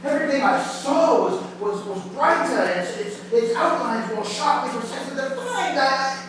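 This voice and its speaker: A man portrays a shaken older man in a stage drama, stumbling intensely over repeated words. The high-set voice comes from a distance through heavy echo and faint noise. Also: very animated, normal speed. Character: shaken, haunted older man recounting his ordeal. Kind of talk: stage play acting. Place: indoor theatre stage, mic far off. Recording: very echoey theatre, distant mic, faint noise.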